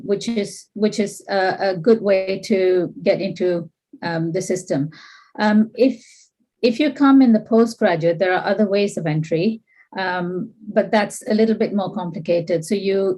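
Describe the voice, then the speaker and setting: Mellow, hesitant woman doctor. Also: fairly low pitch, low-quality recording. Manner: hesitant, many ums. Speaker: obstetrics and gynecology doctor. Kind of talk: online panel discussion.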